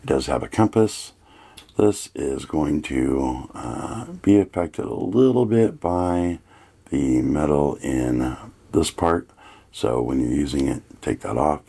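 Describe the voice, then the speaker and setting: A man with a gravelly, husky voice giving a casual, plain-spoken hands-on product review. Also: very deep voice, expressive, slow, dark tone.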